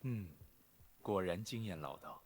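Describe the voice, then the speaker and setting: An actor playing a composed, courteous noble cultivator in an animated fantasy drama, speaking politely and formally. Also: male voice, low voice, a little slow.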